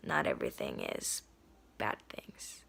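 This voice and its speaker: A young adult nonbinary vlogger talks casually to the camera at home. The voice is a little hoarse and raspy, and the talk is earnest, full of ums and likes. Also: unhurried, pushed and bright, whispered. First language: Spanish. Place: indoors at home.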